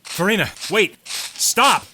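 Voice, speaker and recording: An actor playing a resolute, resourceful mercenary on the run in an audio drama, speaking in terse, urgent commands. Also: male voice, high voice, expressive, takes it slowly, bright, forceful tone, a little hiss or hum, full character voice. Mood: tense, commanding. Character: resolute, resourceful male mercenary hunted through a jungle.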